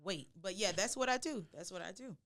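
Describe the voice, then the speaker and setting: Animated woman, emphatic casual talker. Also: medium pitch, brisk. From Louisiana, United States. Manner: emphatic, repeats short phrases. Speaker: podcast guest, radio personality and event host. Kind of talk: casual chat.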